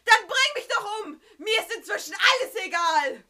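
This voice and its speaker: A hysterical female captive in a comic audio story. Her voice is extremely high and piercingly sharp. She screams and wails with full melodrama. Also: lively intonation, moderate pace, heavily acted. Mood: hysterical, desperate. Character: unicorn princess pretending to be a hysterical captive.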